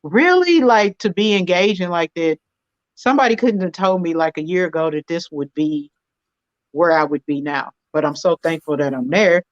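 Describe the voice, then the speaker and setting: A woman guest chatting casually about business, animated and jumping up high when quoting herself. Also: moderate pace, no room sound, lo-fi sound. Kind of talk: casual chat.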